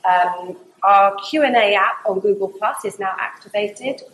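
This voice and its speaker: A woman's voice: medium pitch, unhurried, a bit bright, reverberant, rough recording. A woman hosting and moderating a live panel discussion, introducing guests and giving brief upbeat affirmations. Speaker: host, moderator.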